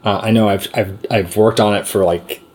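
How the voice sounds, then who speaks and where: A man with a husky, somewhat low voice chats casually. He speaks haltingly, stumbling and restarting his sentences as he goes. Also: steady tone, a little mellow. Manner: halting, hesitant, frequent restarts. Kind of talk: casual chat.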